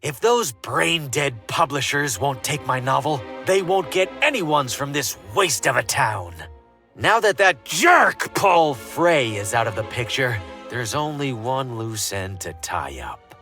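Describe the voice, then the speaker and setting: Bright, swooping, sly schemer. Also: male voice, medium pitch, sing-song, measured pace, faint music behind, full character voice. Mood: bitter, menacing. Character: scheming embittered male would-be novelist in stage melodrama. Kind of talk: point-and-click game character monologue.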